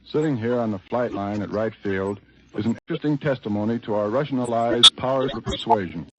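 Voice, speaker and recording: A man's voice: lowish voice, fairly quick. An American man giving a scripted 1940s radio war report in a wry, dryly humorous manner. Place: on an airfield flight line. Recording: noisy, muffled 1940s radio broadcast.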